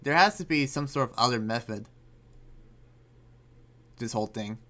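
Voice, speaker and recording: A man playing an earnest, troubled demon slayer and researcher in an improvised anime game roleplay, speaking haltingly and often trailing off. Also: mid-range voice, expressive, sharp tone, a little hiss or hum. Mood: troubled, resolute.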